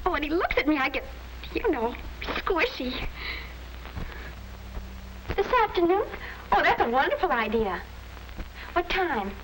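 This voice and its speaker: An actress playing a gushing, hot-tempered American teenage sister in a 1950s sitcom-style film. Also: female voice, high-pitched, very animated, measured pace, pauses often. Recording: noisy vintage 1950s film soundtrack.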